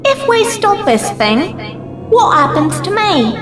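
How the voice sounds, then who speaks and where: A woman playing a plucky, plain-spoken young woman's lingering soul in an audio drama, informal and gentle. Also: very high voice, big pitch swings, slow pace, heavily acted. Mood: tender, brave. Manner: informal, dropped words, gentle. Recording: echoey, music behind.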